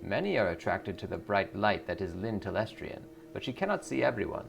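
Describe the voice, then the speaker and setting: A man's voice: fairly low pitch, sing-song, fairly quick, gravelly. A man voicing a pious, fatherly cult preacher with a solemn sermonizing manner in video game dialogue. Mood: fatherly, guarded. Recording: music behind the voice.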